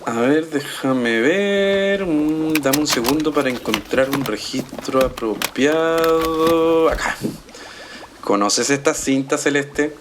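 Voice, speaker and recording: Suave, self-important boss. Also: male voice, a little high, noisy background. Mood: assured, explanatory. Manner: suave, formal, deliberate with dramatic pauses. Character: self-important, courteous male entrepreneur and boss. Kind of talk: naturalistic audio drama acting.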